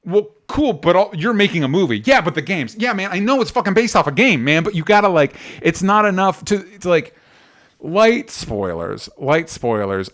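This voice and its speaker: A man's voice: on the high side, fairly bright tone. A man co-hosting a movie podcast, giving opinions in a casual, argumentative and exasperated way with some swearing. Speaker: podcast co-host reviewing movies.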